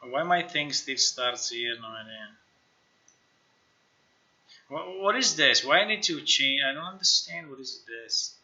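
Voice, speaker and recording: Sharp, bright-voiced man thinking aloud, slow-paced. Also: mid-range voice, animated. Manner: halting, musing, talks to himself. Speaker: game developer live-streamer. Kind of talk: casual live screen-share commentary, thinking aloud. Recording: slightly dull, lo-fi stream audio.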